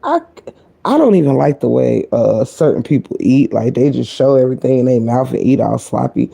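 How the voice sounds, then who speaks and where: A woman with a deep, croaky voice giving sassy, blunt live-stream gossip commentary, full of cursing and kept low so she stays quiet. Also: animated, brisk. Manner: talking low to keep quiet.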